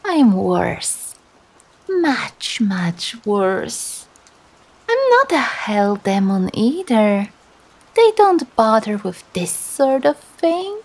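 A woman's voice: mid-range voice, a little mellow, exaggerated voice. A woman playing a seductive demon who feeds on embarrassment, speaking in a teasing, theatrical way in ASMR roleplay style. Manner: teasing, theatrical, slow and drawn-out.

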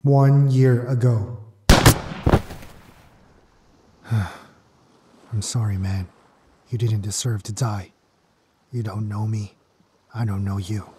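An actor playing a desperate, remorseful scavenger man with halting speech, in an audio drama. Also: lowish voice, mellow, slight background noise. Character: guilt-ridden, desperate scavenger man who robs to survive.

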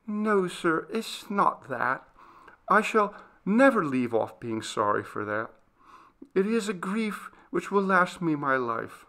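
A man's voice: high-pitched, very animated, slow pace, bright, forceful tone, pitched above the performer's own voice, exaggerated voice. A man voicing a frightened, earnest young servant girl in a storybook, faltering, meek and deferential. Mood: frightened, evasive.

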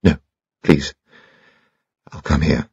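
A genteel society mother, voiced by a man, soft and fond but worn out. The voice lifts gently above a low, husky base, and the recording sounds dull. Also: steady tone, slow pace, no room sound, heavily acted. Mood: gentle. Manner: soft, affectionate and tired. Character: genteel, weary aristocratic mother.

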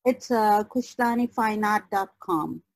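A woman guest in a reflective podcast conversation on a video call, speaking haltingly and searching for words. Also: medium pitch. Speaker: guest artist.

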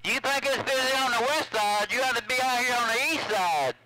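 A man's voice: extremely high pitch, brisk, background noise. A man from Mississippi chatting casually as a CB radio operator, folksy, repeating himself in radio slang. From Mississippi, USA.

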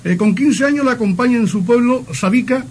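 A man's voice: very high-pitched, a little fast, a little mellow. A man interviewing informally on the radio. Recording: faint noise, archive radio interview.

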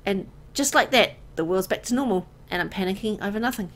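A woman playing an anxious, uneasy young woman in a horror visual novel, hesitant and expressive. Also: mid-range voice, a little fast, natural voice. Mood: uneasy, troubled. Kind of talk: visual novel dialogue and first-person narration.

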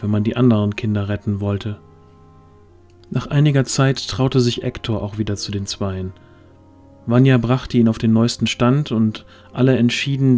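Male narrator for a fantasy audio drama, low and mellow, scripted delivery.

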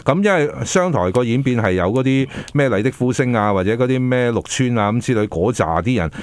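Fast-talking Hong Kong man on radio. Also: medium pitch. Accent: Hong Kong Cantonese. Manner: animated, acts out radio drama lines in a squealing voice. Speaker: radio show co-host. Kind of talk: casual radio studio chat. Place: small radio studio. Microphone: boom mic on a stand.

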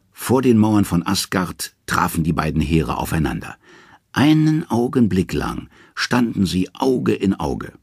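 Very deep, gravelly male storyteller. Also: no room sound, studio quality. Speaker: narrator. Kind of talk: scripted mythological storytelling.

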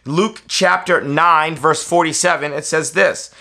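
Man, thirties, American accent, forceful bright tone, persuasive preacher, instructional delivery. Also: fairly high pitch, fairly even intonation, a little slow. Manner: emphatic, persuasive, repeats key phrases. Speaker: preacher giving a tutorial. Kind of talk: instructional talk to camera. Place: small furnished indoor room, seated on a sofa.

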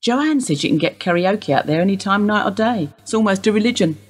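A woman playing a calm, understated, weary bereaved mother in a radio play. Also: medium pitch, brisk, breathy edge. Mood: dry, weary. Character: weary, composed bereaved English mother under police questioning. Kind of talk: naturalistic radio drama acting.

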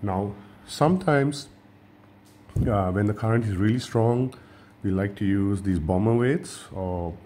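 A man from Sri Lanka, a fishing channel host, giving a relaxed hands-on tutorial at a table and often repeating and restarting his words. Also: fairly low pitch, measured pace, a little hiss or hum.

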